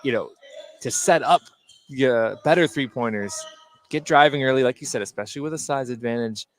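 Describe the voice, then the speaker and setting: Mid-pitched man giving halting basketball analysis. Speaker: color commentator. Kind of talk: live basketball analysis, conversational.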